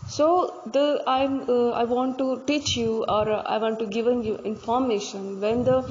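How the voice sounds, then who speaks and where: A woman from India whose first language is Marathi, speaking English with a Marathi accent and giving an unscripted spiritual talk, halting often on "uh" and "um". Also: fairly high pitch, steady tone, a little mellow, long reverb tail, lo-fi sound, a little hiss or hum. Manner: halting, many "uh" and "um" fillers. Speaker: Reiki teacher and spiritual guide.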